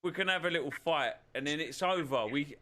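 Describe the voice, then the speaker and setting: A man chats casually on a podcast, swearing freely and laughing often. His voice sits a little high and sounds bright and forward. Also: a little fast. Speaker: podcast co-host. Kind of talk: casual pub-style chat.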